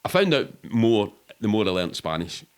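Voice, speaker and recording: A Scottish man in middle age talks in a fairly low-pitched voice. He tells his stories as a podcast guest would, casually and loosely, rambling between details. The delivery is sweary and matter-of-fact. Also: very animated, normal speed, smooth. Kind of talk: casual storytelling in an interview.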